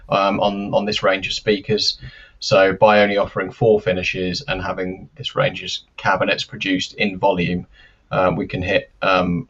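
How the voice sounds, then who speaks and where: A man giving careful, explanatory interview answers as a company expert, with measured and hesitant phrasing, over lo-fi live-stream audio. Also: sits low, fairly bright tone. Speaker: loudspeaker company representative, interview guest.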